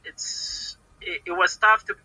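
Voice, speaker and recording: Halting Puerto Rican woman, bright tense voice. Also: lowish voice, steady tone, breathy edge, dry recording, poor audio. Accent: Puerto Rican Spanish. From Puerto Rico. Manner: hesitant, halting, many repeated words and fillers. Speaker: professional wrestler, podcast guest. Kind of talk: casual interview answers.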